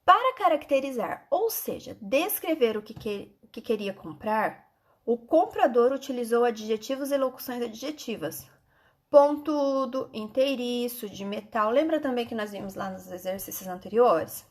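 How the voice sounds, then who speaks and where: A woman's voice: on the high side, lively intonation, normal speed. A woman with a Brazilian accent, a schoolteacher explaining textbook exercises to children in an instructive way with frequent check-in questions.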